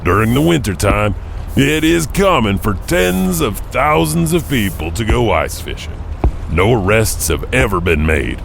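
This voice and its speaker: A man's voice: big pitch swings, unhurried, faint music behind. A man hosting and narrating spooky legends in a theatrical, chuckling manner. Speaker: storytelling host. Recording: clearly noisy background rumble.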